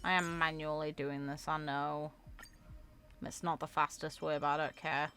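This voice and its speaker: A woman's voice: low-pitched, level tone, normal speed, bright, forceful tone, clean tone. A woman giving casual gaming reaction commentary in short, wry, informal asides. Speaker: gamer reacting to character voicelines.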